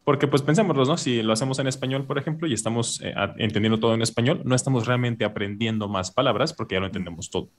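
A man with a Mexican accent, a guest in an interview, explaining ideas in a relaxed way with fillers like "este" and "¿no?". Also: mid-range voice, quick pace, hardly any pauses. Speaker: guest, language teacher. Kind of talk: casual interview answers.

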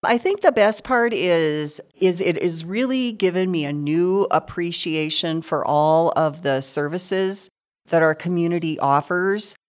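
Low-voiced woman in her sixties. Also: measured pace. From South Dakota. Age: 60s. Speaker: city council member, guest. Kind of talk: conversational interview.

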